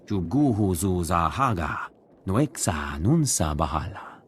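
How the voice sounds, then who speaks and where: An actor playing an emphatic, expressive male alien in a video game, talking in an invented tongue. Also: low voice, measured pace, slightly rough, exaggerated voice. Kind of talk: video game cutscene acting.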